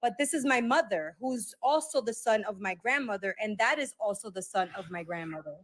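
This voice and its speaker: A woman in a casual group debate who keeps jumping in with quick interjections. She pads her points with "um" and "like" as she talks them through. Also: mid-range voice, expressive.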